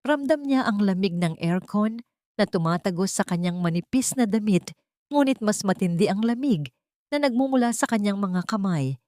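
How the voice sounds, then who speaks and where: A woman narrating a scripted dramatic story in a measured, solemn manner. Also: mid-range voice, a little mellow, dry recording, clean studio sound.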